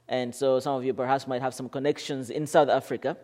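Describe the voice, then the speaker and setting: A man from Malawi, a guest pastor, leading a church service in an earnest, halting way with frequent fillers. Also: mid-range voice, level tone, a little mellow.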